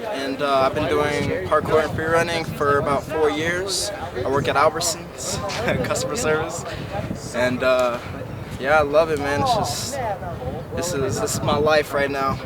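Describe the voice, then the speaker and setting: A man's voice: on the high side, unhurried, a loud crowd. Casual young man, far outdoor mic. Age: young adult. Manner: casual, "you know what I mean" slang. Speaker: parkour athlete interviewee. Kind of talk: casual interview. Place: outdoors on a campus. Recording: distant mic, busy outdoor noise.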